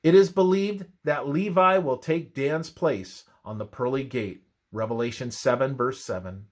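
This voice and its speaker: A man reading a scripted religious narration in a measured, expressive manner. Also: mid-range voice.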